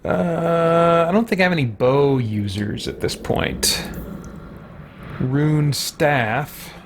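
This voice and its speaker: A man's voice: a little high, slow. A man giving casual, joking gameplay commentary, rambling and muttering to himself as he plays on a livestream. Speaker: livestreaming game player, host. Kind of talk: casual gameplay commentary with put-on in-character quips.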